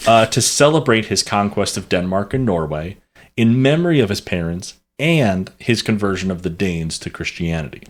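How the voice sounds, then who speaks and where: Low-voiced man rambling through a history topic. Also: animated, moderate pace. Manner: rambling, many fillers and restarts, self-correcting. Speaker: podcast co-host presenting the topic. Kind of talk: casual history podcast chat.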